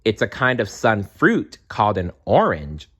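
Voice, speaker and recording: A man's voice: fairly low pitch, wildly swooping pitch, a little slow, fairly bright tone, breathy edge, full character voice. A man voicing an informative chaffinch explaining things in a storybook. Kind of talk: storybook read-aloud.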